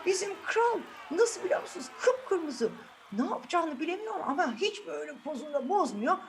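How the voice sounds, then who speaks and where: A woman's voice: very high-pitched, sing-song, moderate pace, slight background noise. A woman telling fairy tales to children in a playful, chatty way, often checking in with her listeners. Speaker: children's storyteller, host. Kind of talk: animated fairy tale narration. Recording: music behind the voice. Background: music.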